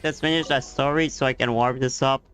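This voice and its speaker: A man's voice: medium pitch, steady tone, a little fast, a bit bright, faint music behind. A man giving casual live commentary as he streams a video game.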